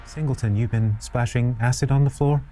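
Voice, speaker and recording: Male tabletop player, mid pitch, mellow tone, casual joking in-character chat, faint music. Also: fairly even intonation, brisk.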